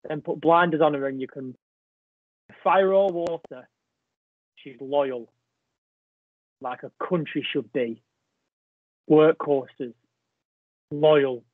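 A man's voice: a little high, slow, long pauses, no echo. An actor playing a bitter, crude old rural man, delivering a blunt, contemptuous, rambling stage monologue. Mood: wistful, menacing. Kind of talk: theatrical monologue in a play reading. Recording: thin phone-like band-limited audio.

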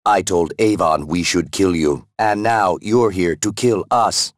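A video game artificial intelligence with a low voice in a dry recording. It speaks in a measured deadpan, like a naive child with the pompous menace of a would-be god. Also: male voice, no echo. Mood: smug, grandiose. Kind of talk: scripted video game acting.

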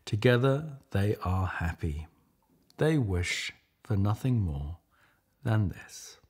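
A middle-aged man reading a picture book aloud with measured, expressive storytelling and a husky voice. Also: on the low side. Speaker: storybook reader.

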